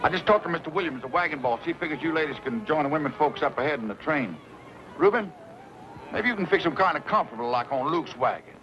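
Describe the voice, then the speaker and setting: A man playing a rough-hewn, loyal middle-aged wagon-train hand with folksy rustic grammar in a western radio drama. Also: a little high, lively intonation, fast talker, background noise, lightly acted. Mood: persuasive. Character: rough-hewn, loyal frontier old hand named Tobias, plain-spoken. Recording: dull lo-fi radio recording, music.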